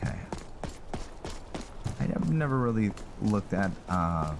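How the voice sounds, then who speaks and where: Low-voiced man, unhurried game commentary. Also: big pitch swings, creaky, background noise. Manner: musing, halting, thinking aloud. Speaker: gamer narrating his own playthrough. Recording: loud game music behind the voice. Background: loud game music.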